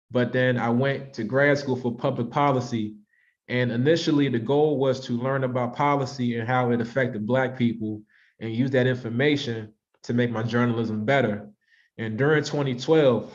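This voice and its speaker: An American man in his thirties giving an informal, conversational and reflective presentation to an online audience. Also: mid-range voice. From Washington, D.C., United States. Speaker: author and presenter hosting a virtual book club. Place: online video call. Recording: slightly dull, lo-fi online call audio.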